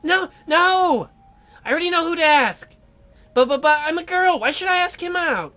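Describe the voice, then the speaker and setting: A woman's voice: extremely high pitch, expressive, moderate pace, pushed and bright, pitched above the performer's own voice, heavily acted. A woman voicing a flustered, lovestruck teenage girl in a visual novel, dramatic and whiny. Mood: flustered protest. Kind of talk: visual novel voice-over put on by a let's-player.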